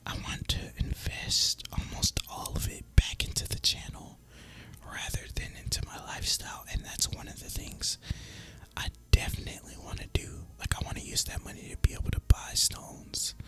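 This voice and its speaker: A man whispers in a breathy, unvoiced voice, rambling casually and reflectively in a relaxed gaming ASMR style, close to the microphone. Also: rough recording. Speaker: video creator and gamer.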